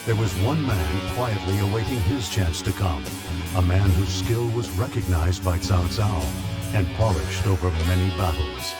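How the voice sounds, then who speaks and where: An actor narrating a game story in a measured, grave tone. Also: male voice, low voice, expressive. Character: solemn male story narrator. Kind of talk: scripted narration. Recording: loud game music behind.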